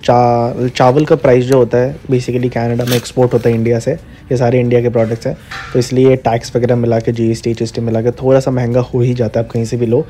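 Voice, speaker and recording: A man's voice: mid-range voice, level tone, brisk, warm tone, a little hiss or hum. A man in his twenties with an Indian accent, chatting casually to viewers as he walks through a grocery store, mixing Hindi and English. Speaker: vlogger. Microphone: handheld camera, first-person view.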